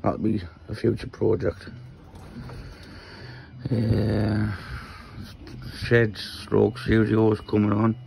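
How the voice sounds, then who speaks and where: A man from Newcastle with a Geordie accent and a croaky voice, casually talking through his workshop project while filming on a handheld phone in a small shed. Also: fairly low pitch, completely flat delivery, takes it slowly, pauses often, noisy background. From Newcastle, England. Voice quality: croaky, gravelly voice. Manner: rambling, explains while handling parts. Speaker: maker vlogger.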